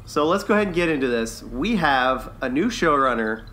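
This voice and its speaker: A man's voice: mid-range voice, lively intonation, a little fast, bright, forceful tone, a little hiss or hum. A man co-hosting a podcast in casual chat, upbeat and good-humoured. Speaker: podcast co-host.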